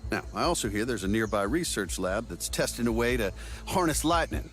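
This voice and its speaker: Actor as a sly, animated older male agent. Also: medium pitch, fairly quick, soft background music, close to an everyday voice. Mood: breezy, cajoling. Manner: folksy, wheedling, glib. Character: sly, breezy older male agency operative, smooth-talking schemer. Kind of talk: video game cutscene acting.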